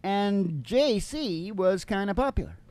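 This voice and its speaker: High-pitched man, rambling comedic radio host. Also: very animated, a little mellow, dry recording. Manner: folksy, irreverent, halting and digressive. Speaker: talk show host.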